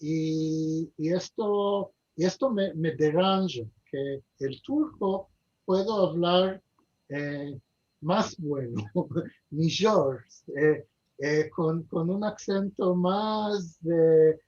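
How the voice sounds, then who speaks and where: Very slow, hesitant man with an Israeli-tinged accent. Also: fairly high pitch, darkish tone. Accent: Israeli-tinged Ladino. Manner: hesitant, searching for words, many fillers. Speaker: guest speaker. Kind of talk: online discussion. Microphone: video call. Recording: dull lo-fi video call audio.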